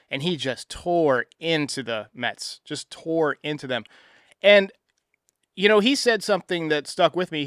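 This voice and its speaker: A man's voice: a little high, bright, forceful tone, clear, resonant tone, dry recording, studio quality. An American man hosting a sports fan show, giving commentary and responding to voicemails.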